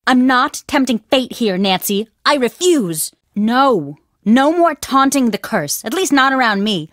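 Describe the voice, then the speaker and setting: A woman's voice: on the high side, very animated, a bit bright, no room sound, clean studio sound. An actress playing a nervous, superstitious young woman student in a video game, fretful and easily spooked. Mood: anxious. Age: young adult. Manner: anxious, fretful, quick to panic. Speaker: game character, student on a dig.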